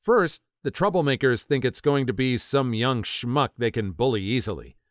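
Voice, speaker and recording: Male narrator for story readings, mid pitch, very lively swings, dry close voice. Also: no room sound. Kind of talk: scripted narration of online stories.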